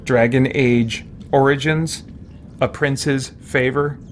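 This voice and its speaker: A man's voice: medium pitch, fairly even intonation, slow. Male streamer, mid-range voice, casual self-directed commentary, folksy and a little exasperated, faint noise behind. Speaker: game streamer. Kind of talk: casual gameplay commentary, muttering to himself.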